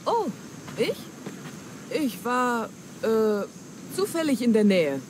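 An actress playing a wily, flattering pirate treasure hunter in a dramatic German game dub, with theatrical, coaxing delivery. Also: female voice, fairly high pitch, takes it slowly. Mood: evasive. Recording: clear ambient noise.

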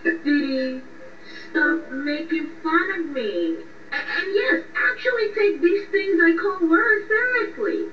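A woman's voice: very high-pitched, expressive, takes it slowly, heavily acted. A woman playing a lovesick, vulnerable young woman in a radio drama, hesitant, defensive and pleading. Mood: defensive, hurt. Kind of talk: radio drama phone conversation. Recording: muffled, echoey, distant, faint noise.

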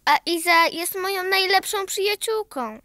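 High, bright little girl Zosia. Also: expressive, moderate pace, no echo, studio quality, heavily acted. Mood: worried. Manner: earnest, precocious. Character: earnest, worried little granddaughter, Zosia. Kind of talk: children's radio play.

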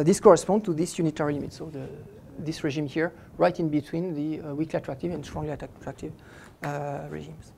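Halting middle-aged man lecturing physics. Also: on the high side, creaky, dark tone, a little hiss or hum. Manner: halting, stops and restarts phrases often while explaining. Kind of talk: academic lecture. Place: lecture hall, indoors.

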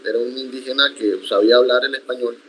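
Young man, first language Iku, measured reflective speech, frequent restarts, video call from home. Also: mid-range voice, fairly even intonation, a bit bright, slight background noise, rough recording. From Sierra Nevada de Santa Marta, Colombia. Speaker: interview guest, accordionist. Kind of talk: conversational interview. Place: home room, indoors.

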